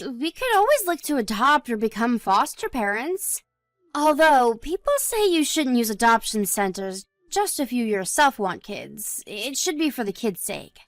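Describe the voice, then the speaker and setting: Woman acting a high-voiced, lively young wife. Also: no echo, studio quality. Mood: gentle, reassuring. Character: supportive, modest young wife chatting with her husband. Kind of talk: scripted dialogue for an online story drama.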